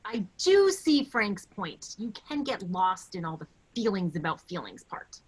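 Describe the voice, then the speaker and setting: A woman playing a sensible, dry-humored office colleague with a restrained manner in a staged dark comedy. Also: mid-range voice, big pitch swings, unhurried, husky. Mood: dry agreement. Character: sensible, dry female colleague reining in the boss.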